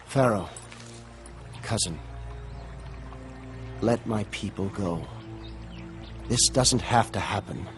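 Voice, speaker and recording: An actor playing a solemn, steadfast prophet in an animated film, speaking gravely and earnestly with command. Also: male voice, on the low side, slow pace, pauses often, soft background music. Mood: grave, pleading.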